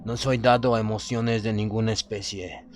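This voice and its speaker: A man narrating a horror story in the first person, in a measured, formal, dramatic manner. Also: on the low side, fairly even intonation. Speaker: audiobook narrator. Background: music.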